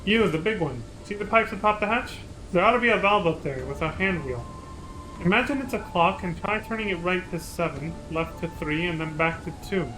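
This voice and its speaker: A man playing a slick, persuasive treasure hunter in a fantasy game, leaning into a half-hearted Italian mobster accent. He haggles with easy charm, and his voice sits fairly high. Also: a little fast, music in the background, lightly acted, poor audio. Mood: scheming. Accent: attempted Italian mobster. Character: smooth-talking male treasure hunter, wheeling and dealing.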